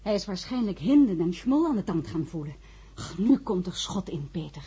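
A woman's voice: on the low side, big pitch swings, normal speed, dark tone, slightly rough, full character voice. An actress playing an earnest, worried woman who narrates and speaks in a Dutch science-fiction radio drama. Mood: agitated, worried. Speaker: narrator and lead character in a radio play.

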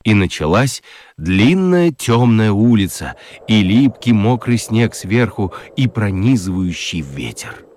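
A man giving an expressive, theatrical scripted narration of a children's story. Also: fairly low pitch, takes it slowly.

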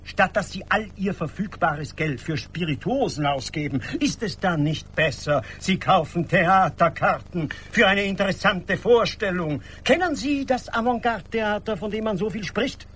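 A man's voice: high voice, moderate pace, few pauses, a bit bright, slight background noise. An actor playing a pedantic, preachy man lecturing his friend in an emphatic, superior manner in a radio play. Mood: urging, moralising. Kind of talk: 1980s German radio play acting.

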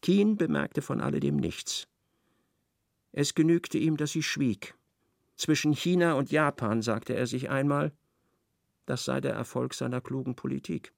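Gravelly male narrator, dry close sound. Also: medium pitch, lively intonation, measured pace, no room sound. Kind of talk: literary radio play narration.

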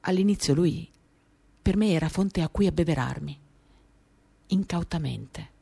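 A woman giving a literary dramatic reading of a first-person monologue, measured, with pauses between short phrases. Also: deep voice, gravelly, mellow, a little hiss or hum. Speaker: reader of a novel, performing a radio reading. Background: acoustic guitar music.

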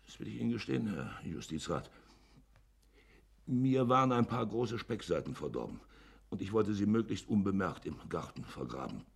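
Shifty innkeeper in a german radio play, low gravelly voice, pitch all over the place, keeps justifying himself. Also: male voice, very animated, moderate pace, full character voice. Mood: evasive, ingratiating. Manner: hesitant, wordy, self-justifying pleading. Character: shifty, smooth-talking village innkeeper hiding a crime.

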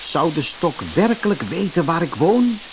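A man's voice: a little high, sing-song, measured pace, background noise, voice pushed up. A man voicing an earnest, tender and somewhat anxious young boy in a storybook. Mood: nervous.

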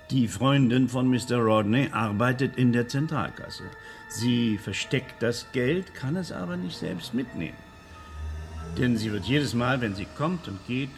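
An actor playing a formal, theatrical man who speaks deliberately in a radio crime drama. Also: medium pitch, lightly acted. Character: courtly, theatrical male actor playing detective.

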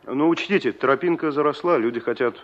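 Man acting a bossy matter-of-fact caretaker type, mid pitch, old radio play. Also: a little fast, natural voice. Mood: curt, officious. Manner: curt, businesslike. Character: officious, matter-of-fact man at a holiday settlement. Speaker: actor. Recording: dull, muffled 1960s radio recording.

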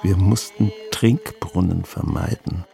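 An actor in a radio drama playing a weary, gruff, sardonic grandfather of seventy with a dry manner and a husky, gravelly voice. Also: deep voice, takes it slowly, mellow, faint music behind, exaggerated voice. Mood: brooding, reflective. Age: 70s. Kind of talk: radio drama acting and monologue.

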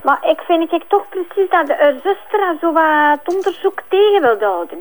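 An actress playing a persistent Flemish police investigator in a crime drama, questioning and pressing. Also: female voice, extremely high pitch, big pitch swings, moderate pace, poor audio. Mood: probing. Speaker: actor. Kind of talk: naturalistic TV crime drama dialogue.

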